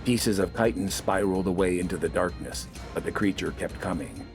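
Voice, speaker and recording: A male audiobook narrator with a fairly low voice. He reads scripted dramatic fiction, with faint music playing underneath. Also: fairly quick.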